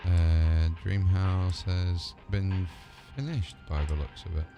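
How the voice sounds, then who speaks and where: Guy streaming a game, lowish voice, chatty, talks back to the characters, music in the background. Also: fairly even intonation, slow pace. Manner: chatty, talking to himself and replying to game characters. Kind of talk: casual live gaming commentary. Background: video game music and sound.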